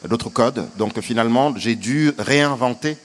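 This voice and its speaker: A man from Senegal, an actor answering interview questions in an earnest, hesitant way with many pauses. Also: medium pitch.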